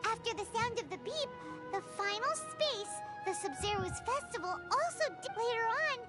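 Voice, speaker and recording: Tiny game fairy sidekick, extremely high squeaky childlike voice, excitable, swoopy delivery, loud music behind. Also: female voice, normal speed. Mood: excited. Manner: exclaiming, chatty, refers to self by name. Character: small excitable floating fairy companion, childlike, talks in third person. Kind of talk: video game voice acting.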